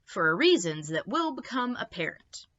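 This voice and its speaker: An earnest dad spinning a tall tale, read by a woman. The delivery is insistent and bright, with very animated pitch, in a storybook style. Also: unhurried, muffled recording. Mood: matter-of-fact.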